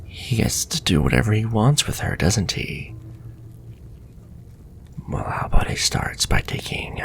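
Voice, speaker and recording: A man voicing a sly, sadistic warlock villain in a flirtatious ASMR fantasy roleplay, with a slow, teasing, half-whispered delivery. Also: lowish voice, sing-song, gravelly, fairly bright tone, heavily acted. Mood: menacing seduction.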